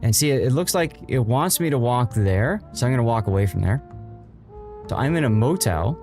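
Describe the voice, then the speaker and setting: A man gives casual live commentary on a video game in a mid-pitched voice. He is easygoing and thinks out loud, with faint guitar music behind him. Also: lively intonation, moderate pace.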